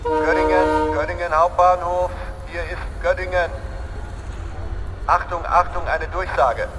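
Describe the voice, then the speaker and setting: An actor playing a brisk, official railway station announcer in a radio play. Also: male voice, sits high, fairly even intonation, fairly bright tone. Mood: official, matter-of-fact. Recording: reverberant station, clear train noise.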